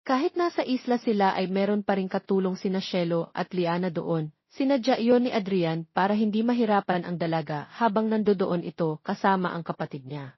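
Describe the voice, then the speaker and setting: A woman reads a dramatic story as its narrator, at a medium pitch. The recording is close and dry, with a slightly dull top end. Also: fairly quick, slightly rough, dry recording.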